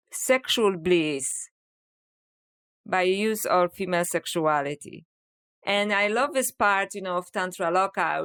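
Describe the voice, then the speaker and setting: A woman with a Polish accent, a guest in a podcast interview, explaining things in a measured, reflective way with frequent "you know.". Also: medium pitch, fairly bright tone, smooth. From Poland. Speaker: author and scholar, podcast guest. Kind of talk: interview conversation.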